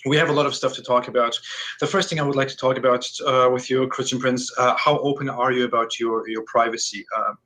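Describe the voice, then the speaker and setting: Flat-voiced male host, hesitant. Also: mid-range voice, fairly quick. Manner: hesitant, frequent restarts. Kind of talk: hosting an audio call interview.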